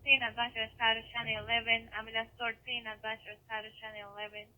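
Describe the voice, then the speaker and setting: Flat, piercingly bright woman radio dispatcher. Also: on the high side, fast talker, low-quality recording. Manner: flat, procedural. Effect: radio transmission. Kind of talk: clipped radio dispatch announcements.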